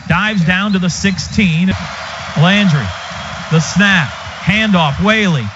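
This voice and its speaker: American guy doing radio football play by play, high voice, excitable and clipped, noisy muffled stadium broadcast. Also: slow. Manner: excitable, clipped running call of each play. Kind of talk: live sports commentary.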